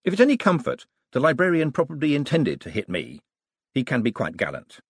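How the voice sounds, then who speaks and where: A man voicing a wry, polite, quick-witted man in an audiobook. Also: mid-range voice, huge pitch swings, slightly rough, no room sound, clean studio sound, voice pushed up, exaggerated voice.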